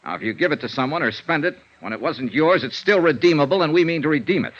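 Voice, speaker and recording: A man's voice: fairly high pitch, lively intonation. An American man acting a tough, blunt, persistent insurance investigator in a vintage radio detective drama. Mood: stern, pressing. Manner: brisk, blunt, hard-boiled, pressing. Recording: dull lo-fi vintage radio recording.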